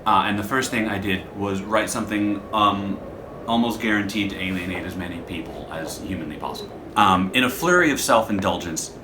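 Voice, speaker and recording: A man's voice: on the low side, a little fast, background noise. A man hosting a podcast, explaining his show in a casual, rambling, self-deprecating way. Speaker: podcast creator and host.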